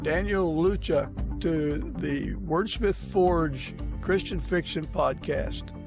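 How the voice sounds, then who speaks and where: A man's voice: a little high, slow pace, over music. A man hosting an interview podcast, giving introductions and putting questions to his guest.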